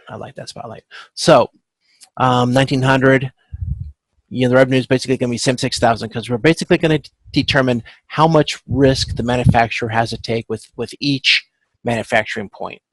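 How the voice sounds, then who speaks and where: A male instructor with a creaky, gravelly voice walks through material informally. He speaks at a middling pitch, stops and corrects himself, and often checks for agreement. The sound is dry and close, with very little room in it. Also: steady tone, no echo, rough recording. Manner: halting, self-correcting, often checking with "okay?". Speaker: instructor teaching supply chain management. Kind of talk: informal lecture walking through spreadsheet calculations. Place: online video conference with screen share.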